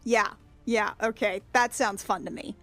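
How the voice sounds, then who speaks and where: A woman's voice: mid-range voice, fairly even intonation, unhurried, fairly bright tone, soft background music. A woman speaking informally and playfully as a player in a tabletop roleplaying game, describing what her character does. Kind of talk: casual in-game chat, describing character's actions.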